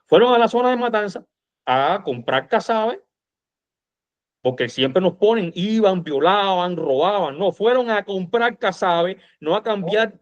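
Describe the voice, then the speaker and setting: Cuban man, fairly high voice, emphatic informal storyteller-commentator, slightly muffled lo-fi sound. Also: expressive, measured pace. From Cuba. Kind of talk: animated informal history discussion.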